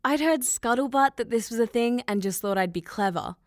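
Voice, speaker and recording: Woman acting a cocky girl pilot, higher-pitched, breezy, sarcastic, slangy, impatient, audiobook dialogue, dry close sound. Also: a little fast, no room sound, lightly acted. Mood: wry, sarcastic.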